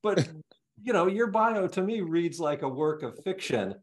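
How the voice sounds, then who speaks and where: A man's voice: high-pitched, lively intonation, a little slow, slight background noise. A man working as a music interviewer, chatting casually with informal banter and hesitant phrasing.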